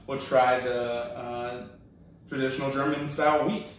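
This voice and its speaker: A relaxed, chatty man hosts a casual beer tasting and fills his talk with ums and uhs. His voice sits at an ordinary pitch. It comes from some distance off the mic, in a very echoey room with a faint hum behind it. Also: moderate pace. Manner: relaxed, chatty, many fillers. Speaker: comedy show host. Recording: roomy echo, from a distance.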